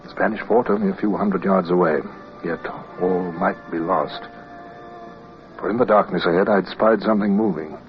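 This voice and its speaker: An actor playing a composed, earnest British naval officer in a radio drama, speaking formally and with measured delivery. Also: male voice, low voice, steady tone, soft background music. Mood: tense, reflective. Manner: formal, measured, clipped orders. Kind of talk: radio drama acting and first-person narration. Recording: dull, faintly noisy old radio recording.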